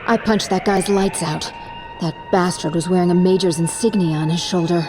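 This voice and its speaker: A woman's voice: fairly low pitch, steady tone, moderate pace, over music, natural voice. A woman playing a hot-headed, indignant young military pilot in a game cutscene. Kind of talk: dubbed game cutscene drama.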